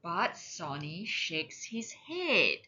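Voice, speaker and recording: A woman narrating a children's picture book in an expressive, deliberate, drawn-out way, as for young children. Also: on the low side, highs cut off. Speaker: storyteller, parent reading aloud.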